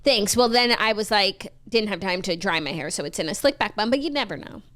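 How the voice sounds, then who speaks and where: A woman co-hosting a casual morning talk show, coming down with a cold and wryly grumbling about a rough morning. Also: medium pitch, animated, a little fast, a bit bright. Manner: chatty, grumpy and wry about a rough morning. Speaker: podcast co-host. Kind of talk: casual chat.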